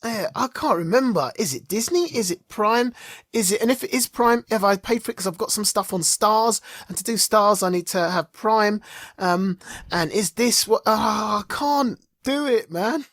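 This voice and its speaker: An animated podcast co-host with a mid-pitched voice, recorded dry and close. The delivery is casual and opinionated, leaning hard on points in loose, chatty conversation. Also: moderate pace, no room sound. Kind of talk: casual chat about streaming and geek culture.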